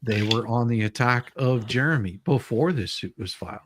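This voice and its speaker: A man's voice: medium pitch, normal speed, dry recording. A man hosting a livestream with casual chat and commentary, repeating phrases and trailing off mid-sentence as he rambles. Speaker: livestream host.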